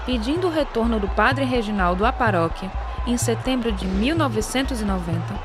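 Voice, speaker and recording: A woman's voice: fairly high pitch, expressive, fairly quick. A woman reading scripted documentary narration for an audio series. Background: music under the voice.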